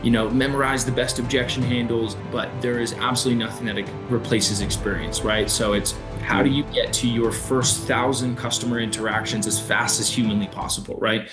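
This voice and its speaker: A man in his thirties from Alberta, Canada, speaking as a podcast guest, relaxed and plainspoken. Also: mid-range voice, a little fast, hardly any pauses, over music. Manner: relaxed, many fillers and restarts, plainspoken. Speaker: sales manager guest. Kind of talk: casual interview answers.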